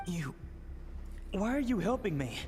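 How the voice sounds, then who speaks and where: An actor playing a desperate, secretive male scientist in a video game, speaking in an agitated, halting, dramatic way. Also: high-pitched, very animated, darkish tone, a little hiss or hum. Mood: shocked, wary. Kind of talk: English video game voice acting.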